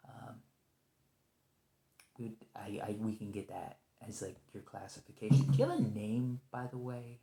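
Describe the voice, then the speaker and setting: Mellow, creaky-voiced nervous agent played by a man. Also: lowish voice. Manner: halting, hesitant, polite. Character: nervous, conciliatory male agent of a secret organization negotiating with a creature. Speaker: ASMR roleplay performer. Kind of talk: ASMR roleplay, improvised one-sided conversation. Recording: roomy, echoing lo-fi sound.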